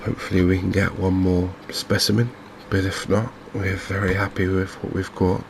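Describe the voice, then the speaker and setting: A man hosting a gaming video in a softly spoken ASMR commentary style, unhurried and hesitant, musing to himself. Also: sits low, level tone, vocal fry, background noise.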